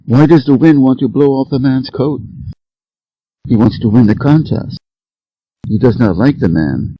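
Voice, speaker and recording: Male story reader, mid-pitched, measured scripted fable narration for learners, very dry, slightly dull recording. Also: slightly rough, no room sound.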